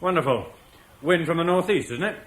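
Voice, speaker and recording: An actor playing a blunt, skeptical war leader who challenges local landowners in a radio play. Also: male voice, on the high side, brisk, close to an everyday voice. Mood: challenging. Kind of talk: 1950s radio play acting. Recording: roomy studio echo.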